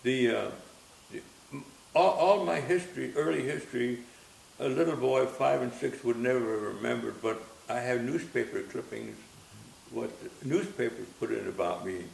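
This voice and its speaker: Gravelly-voiced man in his nineties. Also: medium pitch. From Austria. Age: 90s. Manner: gentle, halting, repeats words as he recalls. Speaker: interviewee. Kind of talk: reminiscing interview. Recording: roomy echo with faint hiss.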